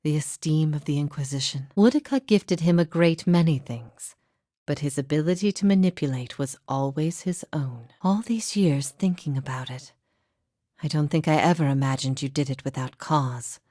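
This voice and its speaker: A woman playing a grave, weary yet steadfast imprisoned heretic prophet in a fantasy game, speaking formally and with measured solemnity. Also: deep voice, dry recording, studio quality. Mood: reflective, earnest. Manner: solemn, measured, formal, often dropping to breathy softness. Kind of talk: dramatic fantasy game dialogue.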